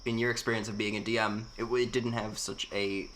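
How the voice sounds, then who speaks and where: A man co-hosting a casual podcast chat, speaking haltingly with restarts. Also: lowish voice, level tone, fairly bright tone, slight background noise, low-quality recording. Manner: hesitant, halting speech.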